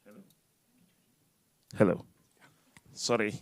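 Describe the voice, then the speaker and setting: A man speaking on a conference panel, loud, halting and full of fillers and restarts, speaking into a microphone. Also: dry recording, clean studio sound.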